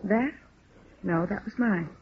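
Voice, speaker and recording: An actress playing a resigned woman killer confessing in a halting, matter-of-fact way in a 1950s radio crime drama. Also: medium pitch. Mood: flat, resigned. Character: woman murderer flatly confessing, weary, resigned. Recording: muffled, noisy old radio recording.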